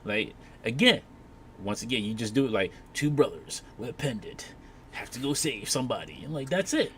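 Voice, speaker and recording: A man's voice: medium pitch, very animated, normal speed, a bit bright. A man on a casual panel, talking emphatically and acting out imagined remarks as he goes. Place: convention panel room. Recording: live panel with background noise.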